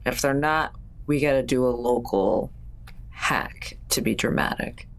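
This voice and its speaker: A woman's voice: very deep voice, a little slow, vocal fry. A woman playing in a tabletop roleplaying game, chatting and improvising in character with dry, wry humour. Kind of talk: casual in-character improvised chat.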